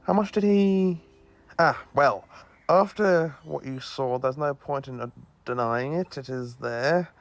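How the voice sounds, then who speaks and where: A man's voice: fairly high pitch, a little slow. A man playing an eccentric, kindly alien professor who travels through time, confident but given to hesitant asides in an audio drama. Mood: sincere, proud.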